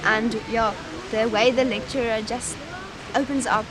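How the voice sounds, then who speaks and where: A young South African woman with an Afrikaans-speaking background and a South African accent, talking in a relaxed, thoughtful way with frequent pauses and restarts while she is interviewed outdoors on a campus. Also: fairly high pitch, crowd noise, rough recording. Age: young adult. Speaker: university student. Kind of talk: casual interview. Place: outdoors in a sunny campus garden.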